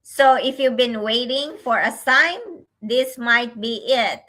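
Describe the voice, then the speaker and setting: A woman's voice: on the high side, slow, bright, forceful tone. A grandmother from the Philippines co-hosting a casual business livestream, laughing often and repeating phrases. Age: older. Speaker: online business mentor and livestream co-host.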